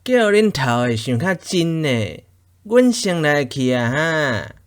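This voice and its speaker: A male radio drama narrator voicing a young male street thug who makes slow, mocking threats. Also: fairly high pitch, very animated, clean tone. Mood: menacing.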